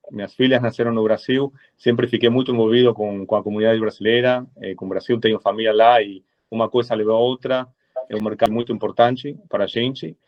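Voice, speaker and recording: A man from Argentina with an Argentine Spanish accent, a lawyer speaking as a guest in a webinar, measured and full of hesitant fillers. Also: mid-range voice. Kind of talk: webinar presentation. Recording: dull lo-fi webinar audio.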